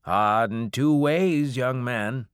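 A man voicing a gruff, shrewd old farmer who speaks in slow, emphatic rural dialect. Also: mid-range voice, no echo, studio quality, voice pushed up, close to an everyday voice. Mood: stern.

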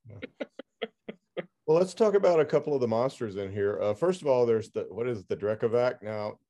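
A man hosting a casual interview, talking in a halting way as he searches for his words. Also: medium pitch, animated, mellow, highs cut off. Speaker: podcast host interviewing an author.

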